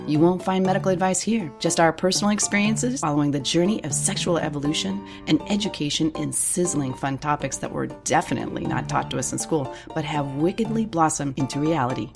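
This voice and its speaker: A woman reading a scripted show intro in a sultry, seductive way. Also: low voice. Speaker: show announcer. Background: guitar music.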